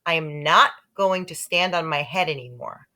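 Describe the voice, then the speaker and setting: A woman's voice: low-pitched, moderate pace, sharp tone. Woman as a fed-up, emphatic fourth-grade boy. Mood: firm refusal. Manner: emphatic, exasperated. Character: fed-up, stubborn fourth-grade big brother.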